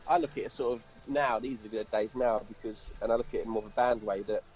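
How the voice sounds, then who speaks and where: A man's voice: on the low side, steady tone, fast, a little mellow, soft background music. A British man in a band, chatting casually as an interviewee over a remote call. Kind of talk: casual chat. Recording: slightly dull remote call audio.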